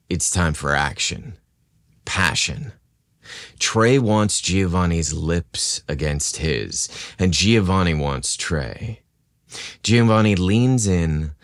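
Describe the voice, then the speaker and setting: A man reading a scripted romance story as a podcast narrator, with a measured, dramatic storytelling delivery. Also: low-pitched, dry recording, clean studio sound.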